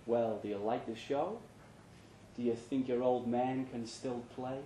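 A man's voice: mid-range voice, fairly even intonation, unhurried, mellow, slight background noise, close to an everyday voice. A man as a hopeful, down-on-his-luck country singer. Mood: wistful. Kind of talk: dramatic short story reading. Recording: roomy live pub reading.

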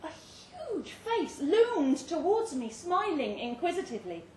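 High-pitched, animated woman reading a story. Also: a little slow. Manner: expressive, theatrical, suspenseful delivery. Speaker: story reader. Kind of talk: dramatic live short-story reading. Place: indoor live literary event venue. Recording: roomy live venue, distant mic, faint noise.

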